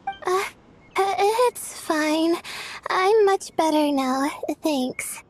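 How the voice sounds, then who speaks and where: A woman voicing a sleepy, apologetic young scholar in a video game, speaking hesitantly and softly. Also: very high-pitched, expressive, very slow, slight background noise, exaggerated voice. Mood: drowsy, apologetic. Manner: hesitant, soft-spoken, full of "uh"s.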